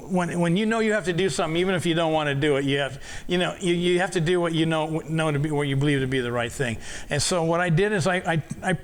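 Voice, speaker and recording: Casual older guy, audio engineer type, reminiscing, lots of restarts and repeats, slightly high pitch. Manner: rambling, many restarts and repeated words. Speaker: audio engineer telling stories. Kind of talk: casual storytelling talk.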